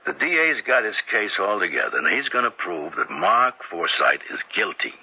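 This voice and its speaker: Dogged police sergeant, deep husky voice that swings widely, old dull radio sound. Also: male voice, sing-song, heavily acted. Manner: coaxing, probing, halting restarts. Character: persistent male police detective sergeant, middle-aged. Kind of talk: 1970s radio drama acting.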